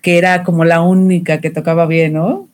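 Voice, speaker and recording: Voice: mid-range voice, flat delivery, normal speed, a little mellow. A guest from Mexico City with a Mexico City accent, chatting casually about a film and often using fillers. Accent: Mexico City Spanish. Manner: chatty, uses fillers like este. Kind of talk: casual film review chat.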